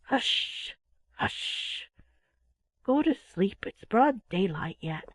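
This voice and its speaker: Woman as a scolding, animated old mother owl. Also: fairly low pitch, moderate pace, dry recording. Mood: hushing. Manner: sharp, exclamatory scolding.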